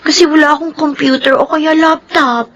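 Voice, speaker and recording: A very high-pitched Filipino schoolgirl in a radio drama. She is whiny, sulky and full of resentment, forever feeling she gets less than everyone else. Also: steady tone, moderate pace, fairly bright tone, highs cut off. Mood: meek, downcast. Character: resentful, envious teenage schoolgirl daughter, self-pitying and whiny.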